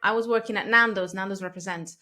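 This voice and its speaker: A woman's voice: medium pitch, fairly even intonation, fast talker, bright, forceful tone. Chatty woman in her thirties from Italy. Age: 30s. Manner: chatty, laughs often, goes off on tangents. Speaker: podcast guest. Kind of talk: casual interview chat.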